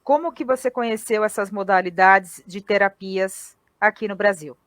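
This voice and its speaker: A woman's voice: medium pitch, moderate pace, fairly bright tone, dry recording, clean studio sound. A woman with a Brazilian accent, interviewing a guest with probing follow-up questions. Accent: Brazilian Portuguese.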